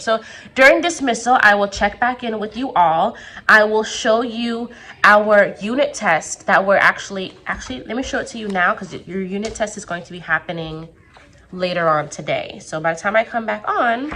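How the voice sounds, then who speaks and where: A young woman teacher talking casually to the camera from her classroom, her voice a little raspy as it recovers, chatty and full of quick asides. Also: mid-range voice, pushed and bright. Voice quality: slightly raspy. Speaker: second grade teacher.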